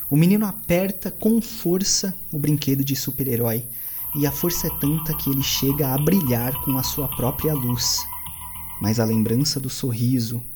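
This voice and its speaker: A man narrating an audio drama and hosting a tabletop game, telling the story in a deliberate, dramatic way that slows for suspense. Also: medium pitch, darkish tone, breathy edge. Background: faint music.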